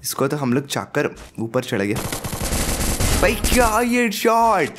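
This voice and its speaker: A young man with a sore throat giving excited live commentary over his own mobile battle royale match, hyping up his kills and laughing often, speaking into a headset at home. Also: a little high, unhurried, noisy background. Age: young adult. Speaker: gaming YouTuber. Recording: game gunfire behind.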